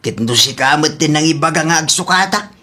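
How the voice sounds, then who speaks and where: Woman as playful young villager, Ilocano accent, evasive, lively swinging pitch, comedy drama. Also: a little fast, heavily acted. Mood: playful, whiny. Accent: Ilocano Filipino.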